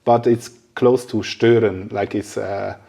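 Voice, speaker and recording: Low-voiced man, chatting informally. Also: fairly even intonation, a little slow, vocal fry, warm tone, slightly rough. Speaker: podcast interviewer. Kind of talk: casual podcast conversation.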